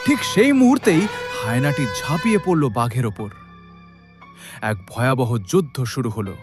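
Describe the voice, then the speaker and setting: A man's voice: mid-range voice, animated, moderate pace, over music. A man narrating a scripted cartoon story in a dramatic style.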